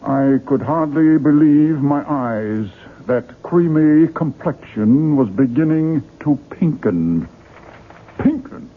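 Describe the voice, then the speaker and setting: Casting: a courteous, emphatic middle-aged publisher for radio drama, slightly rough voice, phone-like broadcast sound. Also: male voice, a little high, animated, slow. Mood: exasperated, incredulous. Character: earnest middle-aged male book publisher, courteous, easily exasperated. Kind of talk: 1970s radio drama acting. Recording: 1970s radio broadcast, narrow phone-like band.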